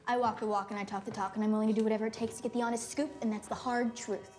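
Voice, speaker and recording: Actress as bold young woman reporter, fairly high voice, self-serious, insistent, film music behind. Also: little pitch movement, racing, a little mellow, lightly acted. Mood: determined.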